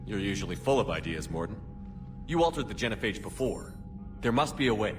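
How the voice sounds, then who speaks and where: An actor playing a resolute human military commander in a video game, with a direct, firm manner. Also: male voice, fairly low pitch, sing-song, a little fast. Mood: steady. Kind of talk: video game cutscene acting. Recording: music behind.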